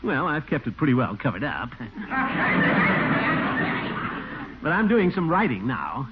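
Blustery, swooping pompous uncle, tinny old radio. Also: male voice, medium pitch, huge pitch swings, a little fast, heavy background noise. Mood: pompous, eager. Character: pompous, eager middle-aged uncle, small-town water commissioner. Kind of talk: 1940s radio comedy acting.

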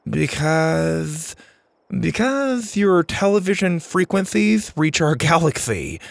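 Man as an eager, awkward high-voiced boy. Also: very animated, takes it slowly, vocal fry, dry recording, full character voice. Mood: nervous bluffing. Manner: hesitant, improvising.

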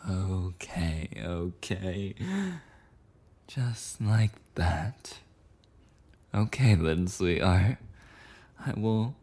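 A man's voice: sits low, darkish tone, husky, full character voice. A man playing a clingy, possessive yandere boyfriend in an intimate ASMR roleplay monologue, rambling and cooing tenderly with lapses into whispers. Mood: coaxing, then tender, soothed.

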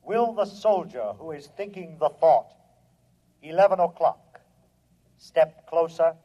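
A cold alien humanoid robot in a vintage radio play. The voice sits a little high and speaks in measured, formal phrases, imperious and probing, on a dull old recording. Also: male voice, animated, dark tone. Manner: formal, measured, deliberate speech. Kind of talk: old-time radio drama acting.

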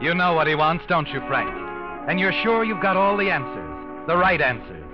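Ominous, higher-voiced suspense narrator over music. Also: male voice, very animated, normal speed. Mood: knowing, ominous. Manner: insinuating, dramatic. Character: knowing, ominous male second-person radio narrator. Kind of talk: 1940s-50s suspense radio narration. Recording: dull vintage radio recording. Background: dramatic music.

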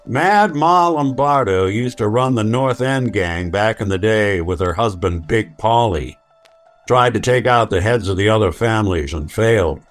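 An actor playing a world-weary, hard-boiled male detective in an old-time radio drama, speaking tersely and deliberately. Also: fairly low pitch, faint music behind, natural voice. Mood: terse, wry.

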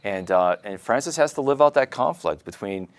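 A man's voice: fairly low pitch, lively intonation, moderate pace. A man hosting a conversational interview podcast, leaning on and repeating words for emphasis. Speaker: podcast host.

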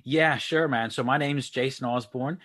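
A man's voice: mid-range voice, moderate pace, a bit bright, dry recording. A man, a guest coach giving casual, friendly interview answers full of fillers. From Texas, USA. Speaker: guest, online marketing coach. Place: lives near Leeds, UK.

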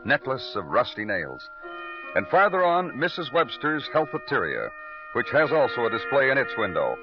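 Male actor, world-weary male police detective, 1950s radio drama, poetic narration, very animated intonation, music underneath, dull vintage sound. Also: mid-range voice, natural voice. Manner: clipped, dry delivery in dialogue, florid in narration. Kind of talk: 1950s radio crime drama acting, lyrical scripted narration. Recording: muffled old radio recording.

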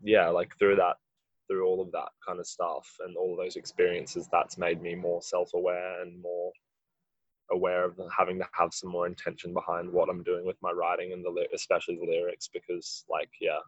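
A musician with a husky voice, answering interview questions in a rambling way, full of "like". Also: male voice, sits low, completely flat delivery, normal speed, no room sound, highs cut off. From Australia. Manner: rambling, heavy use of "like". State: husky from late rehearsal. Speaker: musician in a band.

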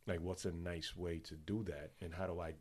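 Laid-back male podcast host, very low and mellow voice, blunt and joking. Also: little pitch movement, normal speed. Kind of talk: casual chat.

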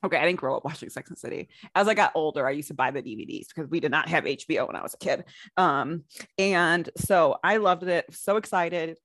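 A woman's voice: low-pitched, quick pace, no room sound, clean studio sound. A woman co-hosting a podcast, chatting casually and telling stories with some swearing. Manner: chatty, swears, animated storytelling. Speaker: podcast co-host.

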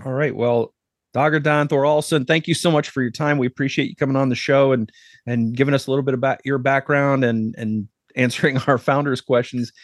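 A man's voice: mid-range voice, fairly even intonation, brisk, dry recording, studio quality. A man hosting a podcast, interviewing a guest and chatting with his co-host in an upbeat, chatty way.

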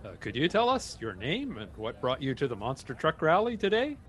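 An actor playing a chipper local radio field reporter with polished announcer delivery in a comedy broadcast. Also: male voice, medium pitch, wildly swooping pitch, brisk, fairly bright tone. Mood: curious, amazed.

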